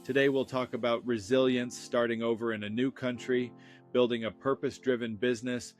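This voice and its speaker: Man host reading an intro over soft guitar. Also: medium pitch, little pitch movement, brisk. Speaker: podcast host. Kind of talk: scripted show introduction. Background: faint guitar music.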